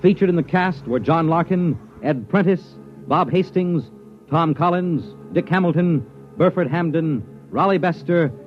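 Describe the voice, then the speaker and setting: A man's voice: a little high, unhurried, faint music behind. An American man delivering scripted announcements in the style of 1950s network radio. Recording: muffled vintage radio recording.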